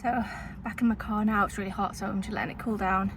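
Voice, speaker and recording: A woman with a soft Liverpool accent tinged with Lancashire, vlogging in a chatty, rambling way, full of fillers and little laughs. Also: mid-range voice, fairly even intonation, quick pace, noisy background. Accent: soft Liverpool with Lancashire influence. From Liverpool, England. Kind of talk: casual vlog chat. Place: town street, then in a parked car.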